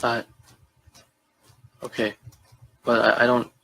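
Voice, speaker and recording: A man in his twenties from Guyana, answering police questions with halting, subdued replies while upset and remorseful. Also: mid-range voice, completely flat delivery, vocal fry, fairly bright tone. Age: 20s. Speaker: suspect being questioned. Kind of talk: police interview.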